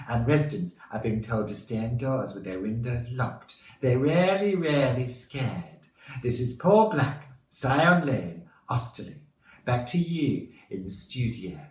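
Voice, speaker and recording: A man's voice: mid-range voice, reverberant, voice pushed up, low-quality recording. A man playing a stocky roving news reporter with an English regional accent and an earnest, dramatic delivery in a storybook.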